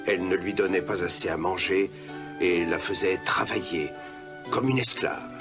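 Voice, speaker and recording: A man's voice: low-pitched, normal speed. A man playing the storyteller of a children's cartoon fairy tale, reading scripted narration. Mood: calm telling. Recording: music under the voice.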